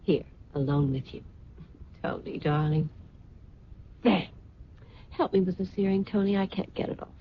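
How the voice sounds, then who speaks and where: An actress playing a vain, fretful socialite wife in a theatrical, genteel old-time radio drama style. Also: female voice, lowish voice, moderate pace, long pauses, natural voice. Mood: breezy, flirtatious. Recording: muffled, noisy old radio recording.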